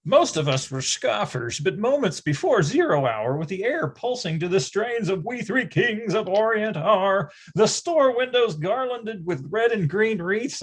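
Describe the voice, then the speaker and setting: A man's voice: high voice, breathy edge, heavily acted. A man playing a wry grown-up looking back on boyhood, narrating in an emphatic, mock-grandiose storytelling manner for readers theatre.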